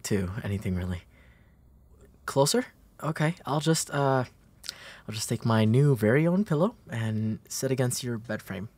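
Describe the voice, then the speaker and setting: Gentle, slow-talking big brother played by a man. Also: medium pitch. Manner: hesitant, soothing, halting delivery. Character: gentle, protective, playful big brother comforting an anxious sibling. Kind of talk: intimate comfort roleplay audio.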